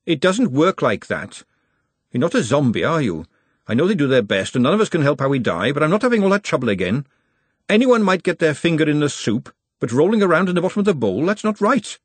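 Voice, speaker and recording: A man voicing a brisk, no-nonsense young female cook with a blunt, scolding, bossy manner in a story reading. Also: fairly high pitch, big pitch swings, breathy edge, dry recording, pitched above the performer's own voice. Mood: suspicious.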